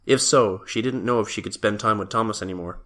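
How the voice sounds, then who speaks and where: A man's voice: lowish voice, fast, bright, forceful tone. A man reading scripted story narration as the narrator of an audio drama.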